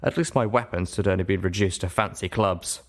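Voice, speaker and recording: A man with a fairly low voice narrating a story aloud in an expressive audiobook style. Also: a little fast. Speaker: narrator reading a fan fiction story aloud.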